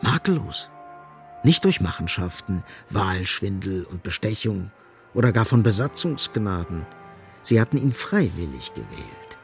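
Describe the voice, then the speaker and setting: An actor as the grave, ironic narrator of a literary radio play, deliberate and insistent, with a husky, creaky voice. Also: male voice, deep voice, very animated, soft background music, full character voice. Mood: ironic, measured.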